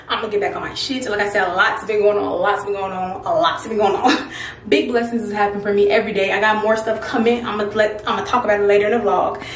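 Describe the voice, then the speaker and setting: A woman's voice: medium pitch, slight background noise. A twenty-year-old woman vlogging in a bubbly, chatty way, swearing freely, talking into a phone held at arm's length. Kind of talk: excited casual vlog. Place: small home bathroom, then outdoors by a car. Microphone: phone camera at arm's length.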